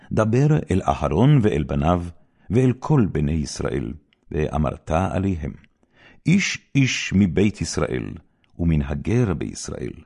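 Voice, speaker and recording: Male scripture reader, very low pitch, dark mellow tone, solemn, deliberate phrases, animated rise and fall. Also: gravelly, husky. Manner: measured, deliberate phrasing with pauses. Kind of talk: solemn scripted Bible reading.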